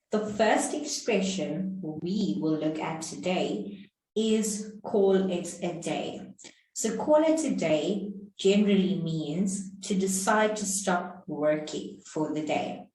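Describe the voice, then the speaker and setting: A South African woman teaches English at a very unhurried pace, going back over each point. Her voice sits at a middle pitch, and she stands a little away from the mic in a very echoey room. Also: darkish tone, distant mic. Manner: slow, explanatory, repeats points. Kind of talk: online lesson.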